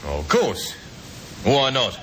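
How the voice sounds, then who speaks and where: A man playing a brazen, evasive small-time crook in a radio drama, gruff and slangy. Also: huge pitch swings, takes it slowly, fairly bright tone, heavily acted. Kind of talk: 1950s radio drama acting. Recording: old noisy radio recording with rumble.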